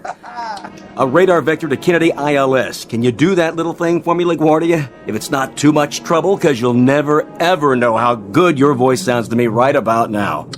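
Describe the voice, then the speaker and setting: A man's voice: medium pitch, moderate pace, close to an everyday voice. Sardonic airline radio officer played by a man, music behind. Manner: sardonic, breezy. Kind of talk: radio drama acting.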